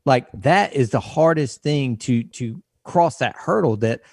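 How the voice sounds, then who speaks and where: A man in his late forties co-hosting a podcast, telling stories in an animated, emphatic way. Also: mid-range voice, unhurried, gravelly, dry recording, clean studio sound. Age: 40s. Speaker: podcast co-host. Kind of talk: casual chat.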